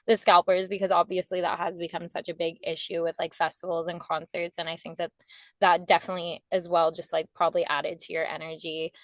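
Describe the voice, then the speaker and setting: A woman's voice: fairly low pitch, dry recording. A young woman interviewing musicians in an upbeat, encouraging, chatty way. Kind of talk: casual interview.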